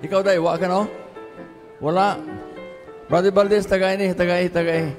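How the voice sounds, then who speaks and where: A man's voice: high voice, little pitch movement, moderate pace. A man with a Cebuano Filipino accent addressing a church service as pastor, giving short, repeated directions to the congregation. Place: large church auditorium. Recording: reverberant church hall sound with music behind.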